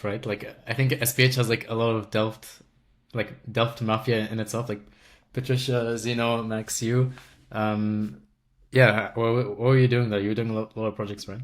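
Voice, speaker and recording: A man's voice: medium pitch, moderate pace. Laid-back man hosting a podcast. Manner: relaxed, slangy and conversational, with fillers. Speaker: podcast host. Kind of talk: casual interview.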